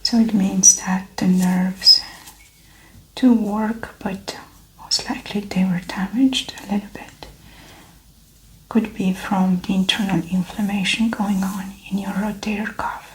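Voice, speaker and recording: A woman speaking softly and breathily in an ASMR medical roleplay, gently explaining each step as a doctor. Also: mid-range voice, steady tone, a little slow. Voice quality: soft, breathy, whispery. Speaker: ASMR performer playing a doctor. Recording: roomy echo, faint background noise.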